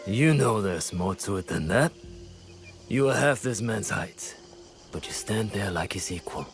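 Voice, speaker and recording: An actor playing a shrewd, philosophical strategist in a video game, with a measured, assured, oratorical way of speaking. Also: male voice, on the low side, animated, faint music behind. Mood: knowing. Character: shrewd, philosophical male strategist, confident and composed. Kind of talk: video game cutscene acting.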